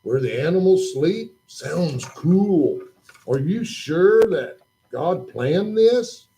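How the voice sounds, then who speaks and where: A man's voice: fairly high pitch, animated, slow, dark tone. A man voicing a curious, playful young boy puppet in a church children's puppet show, asking questions and cracking jokes. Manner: questioning, jokey and full of wonder.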